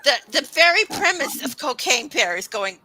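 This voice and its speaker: A woman's voice: high voice, sing-song, moderate pace, over a noisy crowd, low-quality recording. A woman hosting a horror panel discussion, asking questions and laughing easily. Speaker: host, moderator.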